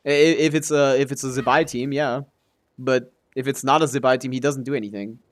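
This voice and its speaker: A man streaming a game live, with an average-pitched voice. He talks offhandedly, thinking aloud as he answers chat. His commentary is casual, with some loose swearing. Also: fairly even intonation, a little fast.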